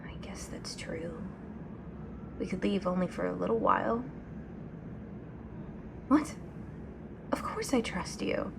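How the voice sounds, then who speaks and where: A woman playing a restless, anxious young woman in an ASMR audio drama, speaking softly and hesitantly, often near a whisper. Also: fairly low pitch, slow pace, long pauses, heavily acted. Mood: uneasy, hesitant. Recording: clear background noise, ambient sound.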